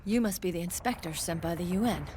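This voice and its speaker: A woman playing a tough young military squad commander, brisk, guarded and no-nonsense, in a dramatic video game. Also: on the low side, noisy background, natural voice. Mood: businesslike.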